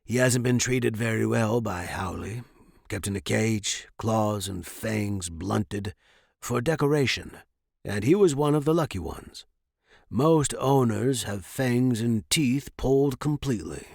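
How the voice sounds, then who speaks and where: A man voicing an older male host, easygoing and gently protective of his dragon, in an audiobook story. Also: fairly low pitch, animated, unhurried, creaky, no echo, studio quality, full character voice. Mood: calm reassuring.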